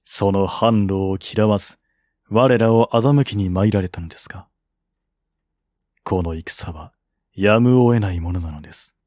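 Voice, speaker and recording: Grave strategist of a fallen kingdom played by a male reader, low, measured and formal, historical audiobook. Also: a little mellow, no room sound, clean studio sound, lightly acted. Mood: calm, grave.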